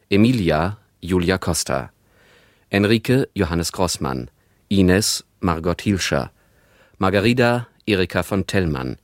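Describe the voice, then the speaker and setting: A man with a very low voice makes a formal radio announcement. He reads slowly and in a measured, scripted style, recorded dry with no room sound. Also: dry recording.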